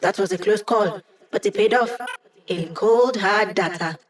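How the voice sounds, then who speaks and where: A woman's voice: mid-range voice, measured pace, far from the mic, close to an everyday voice. Woman acting as sassy young female hacker. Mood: playful. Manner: wry, teasing, sarcastic. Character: sassy young female hacker, digital projection, playful and cocky. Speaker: video game voice actress. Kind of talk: video game character voice lines.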